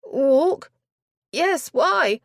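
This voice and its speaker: A woman voicing a frantic middle-aged female chaperone who talks in high, quivering alarm. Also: animated, normal speed, creaky, dry recording, clean studio sound.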